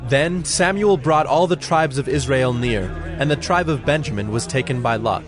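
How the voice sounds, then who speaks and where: A male narrator reads a scripture story in a solemn, measured way. His voice is medium in pitch, with music playing clearly behind it.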